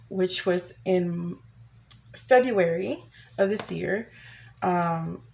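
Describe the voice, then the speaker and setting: A woman's voice: medium pitch, darkish tone. A young woman vlogger giving a chatty personal update, a bit congested from a cold, with many ums and restarts.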